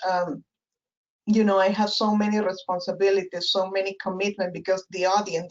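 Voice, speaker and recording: Woman, Spanish accent, Spanish native speaker, webinar guest on a video call, reflective and hesitant delivery, dull lo-fi audio. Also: medium pitch. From Spanish-speaking country. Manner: thoughtful, many fillers and restarts. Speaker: guest professor. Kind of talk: webinar interview.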